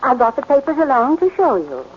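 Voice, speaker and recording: A woman's voice: high-pitched, big pitch swings, a little fast. An actress playing a genteel, easily flustered woman of seventy in a 1940s radio drama. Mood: fluttery. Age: 70s. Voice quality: fussy, flustered refrains. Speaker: elderly society lady asking for a theft. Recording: dull, lightly hissy old radio broadcast.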